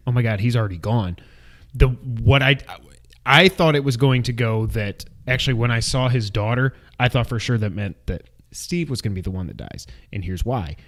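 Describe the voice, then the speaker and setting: A man co-hosting an unscripted movie review, chatting casually and retelling his reactions in an animated, exclamatory way. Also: mid-range voice, moderate pace. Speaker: co-host of a movie review show.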